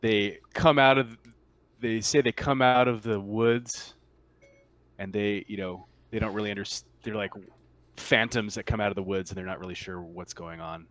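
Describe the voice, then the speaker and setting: A man's voice: lowish voice, normal speed, a bit bright. A man running a tabletop game as game master, narrating casually and off the cuff in a conversational, hesitant way.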